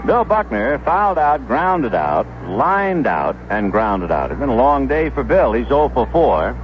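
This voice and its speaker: A man's voice: medium pitch, sing-song, measured pace, lo-fi sound, slight background noise. A man giving live play-by-play baseball commentary as a radio announcer on a 1970s broadcast.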